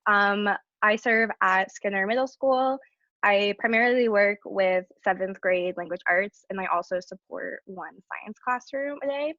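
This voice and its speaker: A woman's voice: medium pitch, measured pace, fairly bright tone, no echo. A young woman from Washington State giving a brief, matter-of-fact introduction on a panel. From Washington State, USA. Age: young adult. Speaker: panelist, service member. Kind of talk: brief introduction on a video call. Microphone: video call. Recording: dull, dry video call audio.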